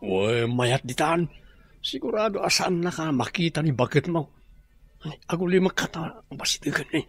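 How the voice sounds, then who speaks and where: A man's voice: on the high side, animated, moderate pace, breathy edge. A man with an Ilocano accent acting an easygoing drinking buddy in a radio comedy-drama. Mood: casual, teasing. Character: easygoing male drinking buddy, urging another round.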